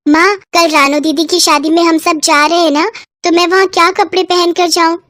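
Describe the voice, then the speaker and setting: A woman's voice: extremely high pitch, steady tone, normal speed, fairly bright tone, dry recording, clean studio sound. A woman voicing a six-year-old village girl in a cartoon, pleading and eager. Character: little girl Renu, six, sulky and eager.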